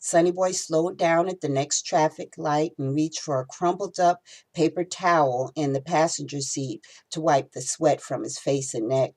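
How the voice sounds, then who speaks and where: A woman reading her own novel aloud in expressive storytelling narration. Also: low-pitched, normal speed, hardly any pauses.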